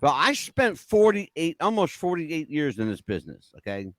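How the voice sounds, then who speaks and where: A man's voice: fairly high pitch, very animated, moderate pace, fairly bright tone, dry recording, studio quality. An older man from the Northeastern United States hosting a casual interview show, talking in a teasing, theatrical, joking way. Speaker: talk show host.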